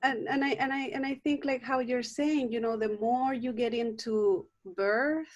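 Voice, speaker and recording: A woman's voice: fairly high pitch, fairly even intonation, normal speed, muffled recording. A middle-aged woman from Chile with a Chilean Spanish accent, a native Spanish speaker, talking earnestly as an herbalist guest in a video call interview, often restarting her sentences. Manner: warm, earnest, frequent restarts and fillers. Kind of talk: casual interview conversation.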